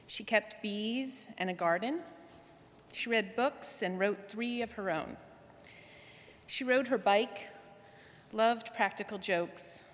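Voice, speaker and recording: A woman's voice: medium pitch, fairly bright tone, a little hiss or hum. A woman delivering a prepared tribute speech to a congregation. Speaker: guest speaker at church. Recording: echoey hall with long reverb.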